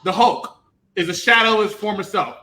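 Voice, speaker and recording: High-pitched, emphatic man hosting. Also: lively intonation, brisk, bright, forceful tone. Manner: emphatic, theatrical ranting, repeats himself for effect. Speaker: host. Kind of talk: animated review show commentary.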